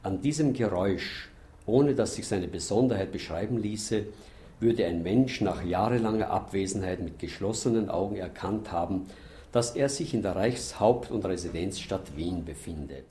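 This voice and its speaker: Low, mellow man reading literature. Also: normal speed, a little hiss or hum. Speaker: reader of literary excerpts.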